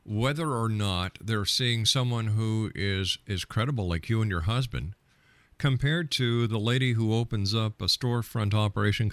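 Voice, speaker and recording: A man's voice: fairly low pitch, no echo. A man hosting a radio interview, asking skeptical, probing questions and often repeating his opening words. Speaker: radio show host, interviewer.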